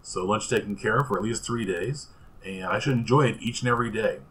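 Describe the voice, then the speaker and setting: A man's voice: fairly low pitch, fairly quick, a little hiss or hum, rough recording. A man hosting a home cooking show in a small kitchen, talking casually with some fillers as he cooks and tastes. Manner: relaxed, chatty, with fillers and restarts.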